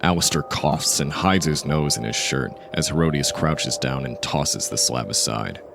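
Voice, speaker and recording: A man narrating a dark audio drama in a measured, literary storytelling manner. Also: a bass voice, vocal fry, over music. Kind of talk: scripted narration of dark fiction.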